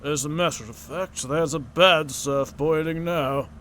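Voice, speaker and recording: An actor playing a patient, measured family lawyer with a deliberate, hesitant manner in a radio mystery drama. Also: male voice, fairly high pitch. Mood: dry, measured. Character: measured, patient male family lawyer breaking grim news. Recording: steady noise behind, vintage radio recreation.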